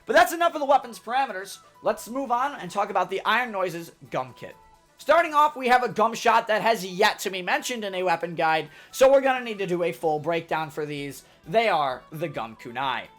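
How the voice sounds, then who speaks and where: A young man narrates a scripted weapon guide for his channel, loud and full of energy. His pitch jumps around in a lively way, while soft music plays behind him. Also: brisk. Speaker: gaming YouTuber giving a weapon guide. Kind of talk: scripted game tutorial narration.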